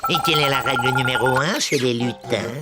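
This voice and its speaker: An actor voicing a sneaky, grumpy, excitable goblin out to spoil everyone's fun in a children's cartoon. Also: mid-range voice, fairly quick, lightly acted. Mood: scheming. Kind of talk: animated children's cartoon dub. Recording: loud music behind the voice.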